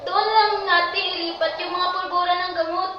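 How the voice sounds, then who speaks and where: A woman's voice: very high voice, fairly even intonation, normal speed, soft background music. A woman playing a stubborn, impulsive young girl with a petulant delivery in an amateur radio drama. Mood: pleading, anxious. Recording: very echoey, distant, dull, faintly noisy.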